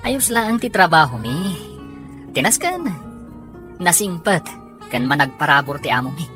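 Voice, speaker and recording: A modest working man acted by a woman speaks low in a scripted radio drama. He is a hardworking driver, and music plays clearly behind him. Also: sing-song, moderate pace.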